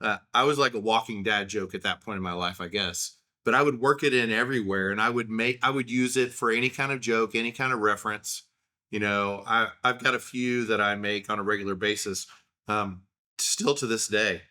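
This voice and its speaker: Oklahoma guy in his 30s, crisp bright voice, just chattin and wandering off on tangents. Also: on the low side, a little fast. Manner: rambling, self-deprecating storyteller. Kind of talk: casual solo monologue.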